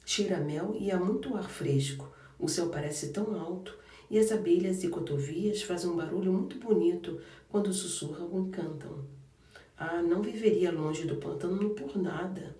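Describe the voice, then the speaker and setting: A man's voice: sits high, moderate pace, mellow, lightly acted. A man playing a cheerful, chatty young maid. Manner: chatty, good-humored and firm. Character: cheerful, sturdy young housemaid. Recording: roomy and distant from the mic.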